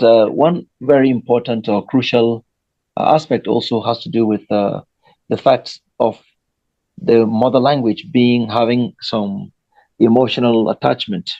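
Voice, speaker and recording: A Nigerian man hosts a panel over an online call, and his voice comes through gravelly and husky. He speaks haltingly, with plenty of filler sounds. The sound is dull and lo-fi, close and dry. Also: medium pitch, darkish tone, no room sound. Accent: Nigerian English. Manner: hesitant, many fillers. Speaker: host. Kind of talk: moderated panel discussion.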